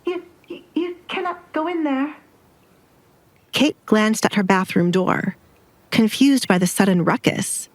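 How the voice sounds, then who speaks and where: A woman's voice: lowish voice, dry recording. A woman narrator reading a scripted, dramatic audio story with music behind her.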